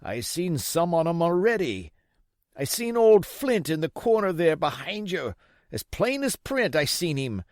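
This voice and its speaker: A man voicing a sick, desperate old pirate in a storybook, wheedling and blustering in rough sailor's dialect. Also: fairly high pitch, big pitch swings, a little slow, dry recording, studio quality, voice pushed up. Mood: desperate pleading. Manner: rough nautical dialect, pleading and cursing.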